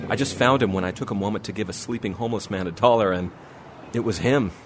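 A man's voice: on the low side, fast talker, lightly acted. Man playing a friendly guy, noisy street. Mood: amazed. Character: amazed friendly man reunited with childhood friend. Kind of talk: scripted radio drama acting. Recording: clearly noisy outdoor city street ambience.

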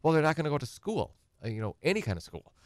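A man's voice: on the high side, very animated, quick pace, no echo. A middle-aged man, a guest attorney explaining estate planning in a rambling, conversational way and acting out clients' questions. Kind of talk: conversational talk show explanation.

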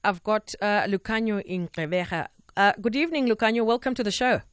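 A man's voice: high voice, brisk, fairly bright tone, smooth, no room sound. South african guy hosting a late night call-in show, chatty, lots of you knows, dead dry studio mic. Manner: chatty, loose, with many "you know" fillers. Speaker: radio talk show host.

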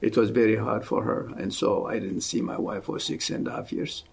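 A man in his seventies from Ethiopia, with an Ethiopian accent, giving an earnest, fervent faith testimony as an interview guest and often dropping to a soft hush. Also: lowish voice, little pitch movement, normal speed, gravelly, slightly rough. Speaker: guest, missionary.

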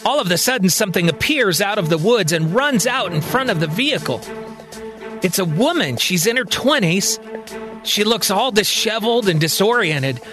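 A man narrating a science-fiction story in a calm, conversational storytelling manner. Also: high-pitched, normal speed, music in the background. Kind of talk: spoken sci-fi story narration.

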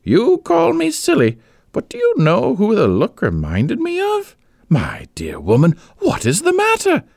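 A man doing a gushing falsetto lady neighbour. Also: wildly swooping pitch, unhurried, creaky, a little mellow, dry recording, clean studio sound, full character voice. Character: chatty gossiping woman neighbour, lively.

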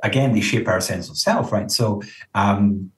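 A low-pitched man explains things conversationally, like a counsellor answering interview questions. He often checks in as he goes. The recording is roomy and echoey, with him sitting some way from the microphone. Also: unhurried. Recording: roomy echo, distant from mic.

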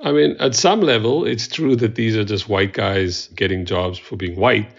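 A man's voice: on the low side, normal speed, gravelly. A man giving conversational, explanatory analysis as an expert in an interview. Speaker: expert commentator. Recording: dull, lo-fi interview audio.

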